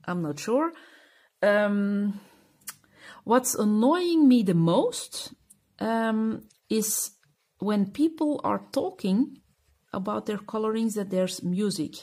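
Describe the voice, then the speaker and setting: A woman's voice: mid-range voice, mellow. A Belgian woman with a Flemish accent, speaking English as a second language in a casual chat to camera, hesitant and full of fillers as she searches for words. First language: Dutch (Flemish). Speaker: coloring channel host.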